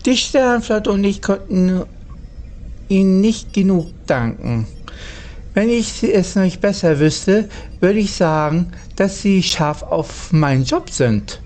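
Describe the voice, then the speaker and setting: A woman's voice: medium pitch, animated, slow pace, warm tone. A German woman playing a game and reading its dialogue aloud in character.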